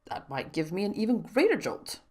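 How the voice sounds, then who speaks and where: Woman voicing a low, playful father. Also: very animated, fairly quick, a little mellow. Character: gentle middle-aged father, a toolmaker.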